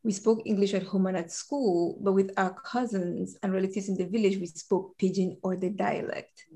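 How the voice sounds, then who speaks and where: A woman from Cameroon in her forties tells her personal and professional story as an interview guest. She is animated and laughs easily, and her voice sits at a middle pitch. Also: normal speed. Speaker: guest, compliance director. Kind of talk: conversational interview.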